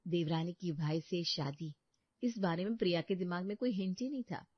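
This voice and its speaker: A woman reads scripted storybook narration in a steady, even manner. The audio is dull and lo-fi, and it sounds dry and close. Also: on the low side, normal speed, dry recording.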